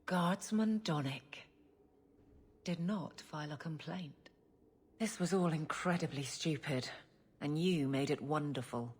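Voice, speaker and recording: An actress playing a blunt, earnest woman guard captain who gets flustered and halting, in cinematic video game dialogue. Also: low voice, slightly rough. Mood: warm, grateful.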